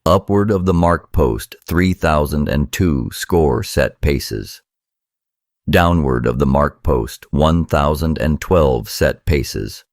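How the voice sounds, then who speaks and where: An extremely deep-voiced man reads scripture solemnly and formally. He takes his time over each phrase, and the sound is dry and free of echo. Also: animated, slow. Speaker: reader of a scriptural book.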